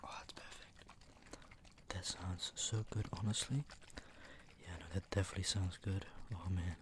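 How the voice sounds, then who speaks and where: A soft-spoken man rambling casually in an ASMR style, often dropping into a whisper. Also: deep voice, steady tone, moderate pace. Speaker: ASMR video host. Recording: clear background noise.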